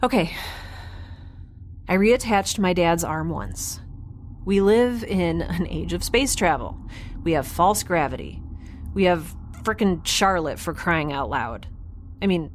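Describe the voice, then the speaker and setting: A woman's voice: on the low side, unhurried, slight background noise. An actress playing a quirky, stubborn young woman scientist in a spaceship audio drama, rambling and earnest. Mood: determined, babbling. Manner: rambling, babbling, animated, earnest.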